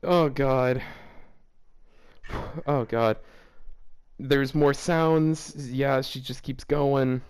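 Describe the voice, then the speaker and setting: A man's voice: mid-range voice, steady tone, extremely slow, pauses often, darkish tone, clear, resonant tone. Man reading fan fiction aloud, incredulous. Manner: breaks into incredulous laughter and groans. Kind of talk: casual reading aloud with commentary.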